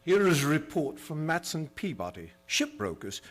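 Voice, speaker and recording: Man playing higher-voiced, animated vampire-hunt chronicler. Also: moderate pace. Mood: grave, suspenseful. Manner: formal, reading out dated reports. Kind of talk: old-time radio drama narration.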